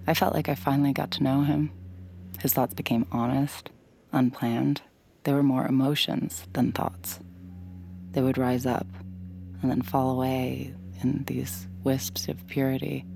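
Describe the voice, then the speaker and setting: An actress playing a young adult mind reader in an audio drama, narrating her thoughts quietly and reflectively, sometimes in a whisper. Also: extremely low pitch, little pitch movement, a little slow, vocal fry, a little hiss or hum, full character voice. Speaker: mind-reading lead character.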